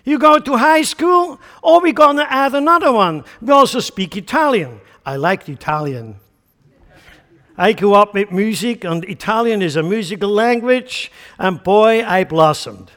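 A man's voice: high-pitched, expressive, a little slow, fairly bright tone, long reverb tail. A man from central Switzerland with a Swiss German accent, preaching a sermon with emphatic rhetorical questions. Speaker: pastor.

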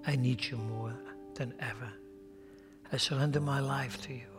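A man's voice: mid-range voice, steady tone, moderate pace. Swiss-accented man preaching in a hall. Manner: emphatic, rising and falling between loud exhortation and hushed prayer. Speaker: pastor. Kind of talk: sermon and closing prayer. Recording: long hall reverb with faint music.

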